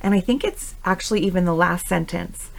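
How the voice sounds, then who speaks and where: Woman, interview host, lowish pitch, informal, encouraging, conversational delivery. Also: a little fast, vocal fry.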